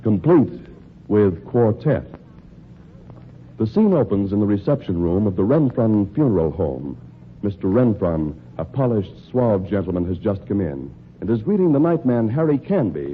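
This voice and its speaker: Low-voiced 1940s radio announcer. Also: male voice, very animated, moderate pace, a little hiss or hum. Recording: tinny old radio recording with music.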